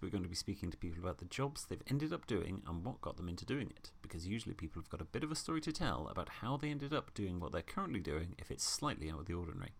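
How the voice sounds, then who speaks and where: A man hosting a casual podcast interview, chatty and quick to laugh. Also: lowish voice, vocal fry. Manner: chatty, laughs easily, lively delivery. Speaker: podcast host.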